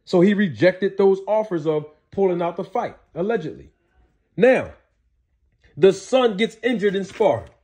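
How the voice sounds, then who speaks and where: High-pitched, husky male commentator. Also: lively intonation, measured pace, darkish tone. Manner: emphatic, repeats points, poses rhetorical questions. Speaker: commentator hosting a boxing commentary show. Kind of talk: explanatory storytelling commentary with acted-out quotes.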